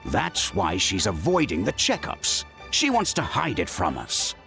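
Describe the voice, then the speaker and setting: An actor playing a stern but caring middle-aged father, world-weary and emphatic, with a gravelly voice, in a video game. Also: sits low, huge pitch swings, unhurried, exaggerated voice. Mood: worried, weary. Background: background music.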